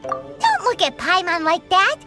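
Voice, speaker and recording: A woman's voice: very high-pitched, wildly swooping pitch, measured pace, bright, forceful tone, heavily acted. A woman voicing a tiny floating female fairy companion, bubbly and greedy, who talks about herself in the third person. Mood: excited. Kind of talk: video game dialogue. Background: game music.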